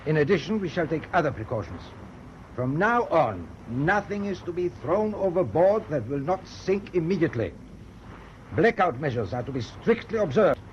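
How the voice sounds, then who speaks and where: A formal, commanding German ship's captain in a radio drama. His voice sits fairly high and he speaks with measured, determined authority. The recording is dull, with faint noise. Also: big pitch swings. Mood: stern, resolute. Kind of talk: British radio drama acting.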